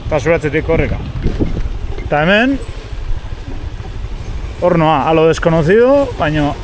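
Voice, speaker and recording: A man's voice: on the high side, animated, a little fast. Male travel vlogger, Basque accent and native Basque. Casual outdoor chat, recorded amid noticeable rural background noise. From Basque Country, Spain. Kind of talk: casual outdoor vlog, chatting. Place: outdoors, rural tropical trail and roadside. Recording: noisy outdoor vlog, insects and ambience.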